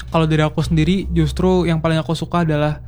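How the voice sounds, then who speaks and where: A young man who took part in a contest tells eager, informal stories as a guest. His voice sits a little high and he talks quickly. Faint music plays underneath. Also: level tone, a little mellow. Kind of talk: casual chat.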